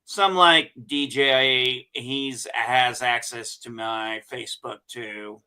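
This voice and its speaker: A man talking slowly, his voice bright and forceful. He drifts through stories at an easy pace with restarts, in a casual podcast chat. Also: mid-range voice, fairly even intonation, clean tone. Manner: slow, rambling storyteller with restarts.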